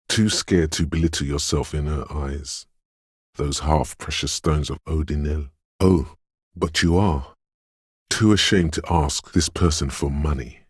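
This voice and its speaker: An actor playing a cryptic, dreamy inner voice of intuition in a video game, theatrical and ominous, with a gravelly hushed rasp and deliberate dramatic pauses. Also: male voice, a bass voice, dry recording, clean studio sound, exaggerated voice. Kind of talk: theatrical video game narration, second-person poetic musing.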